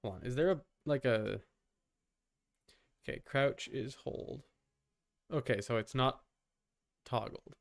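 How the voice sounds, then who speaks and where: A man's voice: mid-range voice, takes it slowly, creaky, long pauses. A man giving casual live gaming commentary as a streamer, informal, with muttered asides and mild swearing. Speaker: video game streamer.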